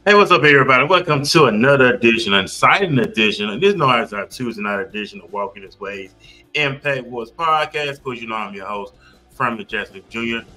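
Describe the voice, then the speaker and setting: Male podcast host, forceful bright tone, effusive and emphatic delivery. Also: mid-range voice, lively intonation, quick pace, soft background music, low-quality recording. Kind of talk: enthusiastic podcast hosting.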